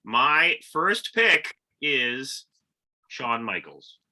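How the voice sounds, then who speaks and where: Bright-voiced male podcast host. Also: mid-range voice, big pitch swings, very slow. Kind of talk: casual podcast chat.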